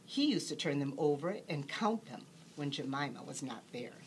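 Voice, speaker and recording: Low, very expressive woman storyteller. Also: normal speed, a little hiss or hum. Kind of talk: expressive bedtime story narration.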